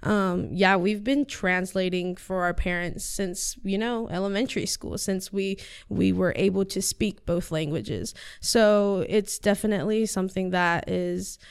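A teenage girl whose first language is Spanish, talking about herself in an interview. Her voice comes across very clear and clean. She answers earnestly, circling back with fillers and restarts as she thinks. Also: medium pitch, steady tone, unhurried, few pauses. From United States. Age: teens. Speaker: high school student guest.

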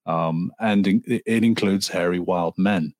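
A man in his forties from Liverpool with a Liverpool accent, a guest telling folklore stories in a relaxed, rambling way with ums and restarts. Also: sits low, a little slow, dry recording, studio quality. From Liverpool, England. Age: 40s. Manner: storytelling, with frequent ums and restarts. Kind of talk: conversational interview.

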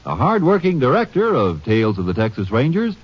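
A man's voice: mid-range voice, huge pitch swings, brisk, husky. A man announcing a commercial with upbeat, showy delivery in 1940s radio style. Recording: dull old radio recording.

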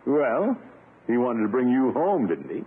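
An actor playing a blustering, jealous, portly middle-aged suitor in a 1950s radio sitcom, indignant and full of exclamations. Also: male voice, mid-range voice, very animated, unhurried, breathy edge. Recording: muffled, noisy 1950s radio recording.

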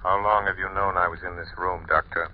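Man playing grim invisible crimefighter, low commanding voice, terse, old muffled radio recording. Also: flat delivery, a little fast, close to an everyday voice. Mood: stern, commanding. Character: stern invisible male crime-fighter, grim and unyielding. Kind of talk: old-time radio drama acting.

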